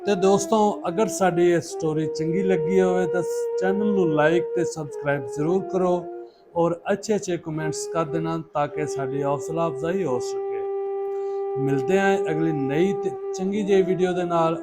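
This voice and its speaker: A man narrating a moral story in a solemn, emotive, deliberate way, as a storyteller would. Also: fairly high pitch, steady tone. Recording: loud flute music under voice. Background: loud instrumental flute music.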